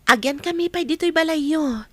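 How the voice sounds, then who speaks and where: A woman acts as a young married woman with a high voice and an Ilocano Filipino accent. She is gentle and respectful, as in a family radio drama. The sound is close and dry. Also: brisk, no room sound, close to an everyday voice.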